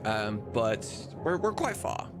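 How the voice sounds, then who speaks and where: Man, fairly low voice, rambling livestream host, sweary, thinking aloud, music behind. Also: very animated, slow. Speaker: game-mod developer and livestream host. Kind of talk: casual livestream chat while demonstrating.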